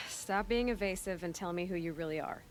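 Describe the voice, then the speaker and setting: A woman's voice: on the low side, moderate pace, slight background noise, lightly acted. An actress playing a young female hunter in a game, direct and terse. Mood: determined. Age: young adult. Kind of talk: video game cutscene acting.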